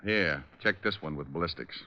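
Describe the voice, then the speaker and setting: Wisecracking radio private eye, sharp and forceful. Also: male voice, very deep voice, moderate pace, full character voice. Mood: brisk, probing. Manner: sardonic, wisecracking. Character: wisecracking tough private detective, shrewd and breezy. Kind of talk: 1940s radio detective drama acting. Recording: muffled vintage radio recording.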